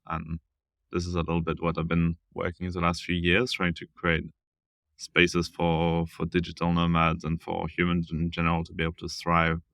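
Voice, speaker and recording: Very deep, gravelly man from France. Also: pushed and bright, no echo, studio quality. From south of France. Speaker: interview guest, village founder. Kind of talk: casual interview conversation.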